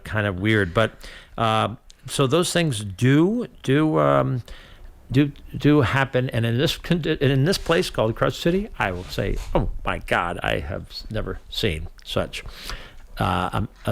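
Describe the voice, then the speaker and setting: A man's voice: mid-range voice, expressive, a little slow, vocal fry. A middle-aged man hosting a community radio talk show, chatting casually and joking around. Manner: jokey, breaks into loud silly voices.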